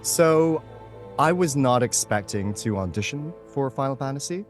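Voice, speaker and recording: A man's voice: medium pitch, animated, moderate pace, soft background music. Theatrical male voice actor in casual conversation. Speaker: interview guest, voice actor. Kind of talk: casual, playful interview chat.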